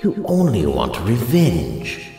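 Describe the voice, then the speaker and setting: A man playing an ancient, solemn guardian spirit who speaks gravely and portentously in a superhero audio drama. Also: on the low side, huge pitch swings, slow pace, mellow, faint music behind, full character voice. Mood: grave, testing. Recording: long cavernous reverb.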